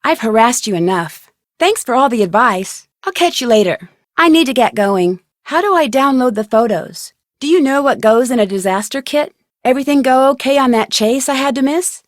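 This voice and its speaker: A woman playing a curious, confident young amateur detective in a video game, speaking in a clear, inquisitive, polite and self-assured way. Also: sits high, animated, normal speed, no echo, clean studio sound. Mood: friendly, businesslike.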